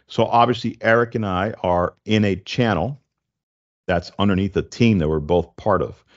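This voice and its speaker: A man in his forties hosting a casual tech explainer, speaking in a halting, stop-and-start way into a close desk microphone. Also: low-pitched, muffled recording. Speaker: tech show host. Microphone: desk condenser microphone, close, with headset.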